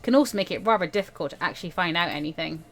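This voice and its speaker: A woman voicing a calm, stern older male mentor in a visual novel, measured and gently admonishing. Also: mid-range voice, soft background music, pitched below the performer's own voice, lightly acted. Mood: dry, admonishing.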